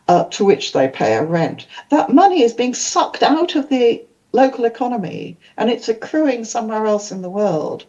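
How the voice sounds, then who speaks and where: A woman's voice: mid-range voice, dark tone, highs cut off. Older Welsh woman, thoughtful conference speaker. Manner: thoughtful, many fillers and restarts. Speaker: panel speaker. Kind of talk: conference panel talk.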